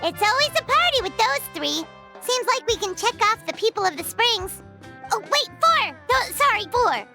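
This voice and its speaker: A tiny, perky game character, extremely high and sing-song lively, teasing in manner, with music clearly playing underneath. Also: normal speed, exaggerated voice. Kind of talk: video game character acting.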